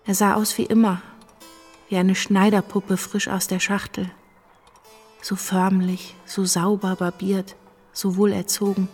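An actress playing a revolutionary's wife who narrates events in a measured, dryly observant way in a radio drama. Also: female voice, medium pitch, little pitch movement, a little mellow, slightly rough, faint music behind. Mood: reflective.

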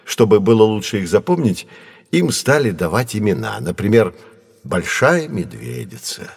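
An actor playing a kindly, patient professor who explains science to children in an educational audio play. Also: male voice, on the low side, sing-song, normal speed, vocal fry, breathy edge, dry recording, studio quality, heavily acted. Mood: explanatory. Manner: didactic, carefully phrased, encouraging.

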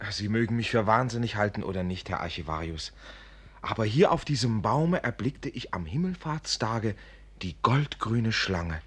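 A man's voice: lowish voice, expressive, moderate pace, breathy edge. A young man playing a dreamy, lovesick student in a radio drama, speaking in an ardent, formal, sighing manner. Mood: lovesick, fervent. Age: young adult. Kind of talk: German literary radio drama acting.

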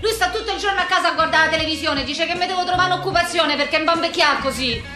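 High-voiced brash young Roman woman. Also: racing, over music. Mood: aggrieved, rambling. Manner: slangy, combative, rambling street talk. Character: brash, foul-mouthed young working-class Roman woman, quarrelsome and aggrieved. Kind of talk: theatrical comic monologue for radio.

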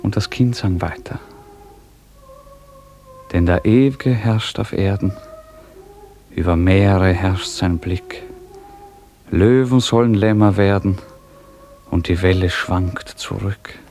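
Male reader for classic radio drama: very unhurried, poetic and solemn, lowish pitch. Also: a little mellow, soft background music. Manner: solemn, poetic, measured delivery. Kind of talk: literary radio narration with recited verse.

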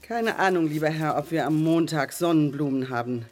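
A woman's voice: sits low, moderate pace, darkish tone, faint music behind, lightly acted. An actress playing a fussy, condescending florist who questions her customer in a radio drama. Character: fussy, condescending female florist making wreaths.

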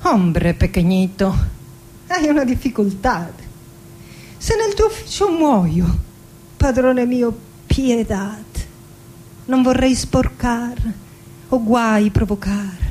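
Slow, lyrical melancholy woman poet. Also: on the high side, animated, darkish tone, a little hiss or hum, rough recording. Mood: pleading, bitter. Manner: dramatic, lyrical, lingering on phrases. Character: passionate, melancholy woman poet, wounded yet defiant in love. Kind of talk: theatrical monologue and poetry recital over music. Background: acoustic guitar music.

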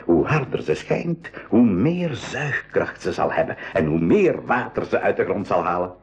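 An actor playing a practical, encouraging professor uncle in a 1980s school radio play, explaining emphatically with a Belgian Dutch accent. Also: male voice, fairly low pitch, big pitch swings, moderate pace, slightly rough, full character voice. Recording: muffled 1980s radio recording.

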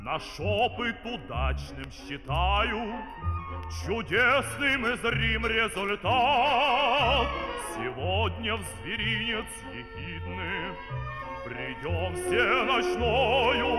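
High theatrical villain ruler, slow grand delivery, swooping pitch, sung and spoken comic opera, orchestra. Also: male voice, expressive, loud music behind the voice, exaggerated voice. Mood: elated, singing. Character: pompous villainous ruler scheming to dominate humanity. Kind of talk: comic fairy-tale opera acting with singing. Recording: vintage stereo LP, loud orchestra.

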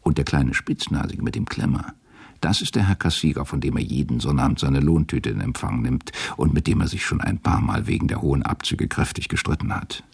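A man narrating a literary radio drama in a measured, sober manner. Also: very deep voice, animated, gravelly, slightly rough.

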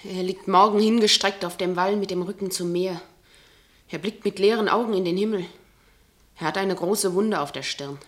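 Radio play role: woman playing grave, fearful local foretelling doom, medium pitch, intense delivery. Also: normal speed, close to an everyday voice. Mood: grim.

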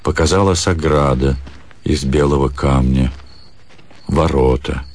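A man's voice: extremely low pitch, steady tone, measured pace. A man reading a classic short story as the narrator of a radio audio play.

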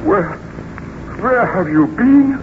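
A man's voice: high-pitched, lively intonation, slow. An actor playing an anguished, defiant scientist nobleman with theatrical, formal diction in a 1930s radio serial. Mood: shocked. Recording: noisy, muffled 1930s radio recording.

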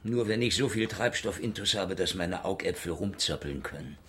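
An actor playing a hard-drinking, sardonic male private detective in a radio drama, gruff, mocking and deadpan. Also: low voice, moderate pace, heavy background noise. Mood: flat reading.

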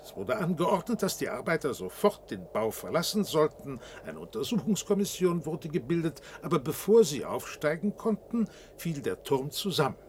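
An actor playing a courteous, anxious city dignitary in a German science fiction radio play, speaking formally and carefully. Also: male voice, fairly high pitch, big pitch swings, normal speed, few pauses, slight background noise. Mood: grave, shaken.

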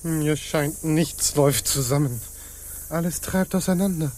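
An actor playing a deferential, uneasy pirate underling in a radio play, halting and servile in his delivery. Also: fairly high pitch, a little mellow, close to an everyday voice. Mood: uneasy, obsequious. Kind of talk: stage-like radio play acting.

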